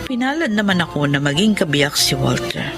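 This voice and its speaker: A woman's voice: sits low, sing-song, fairly quick, creaky, heavily acted. An actress playing a poor, devoted wife and worried mother in a Filipino radio drama, sincere and plaintive. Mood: wistful. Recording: loud dramatic music behind the voice.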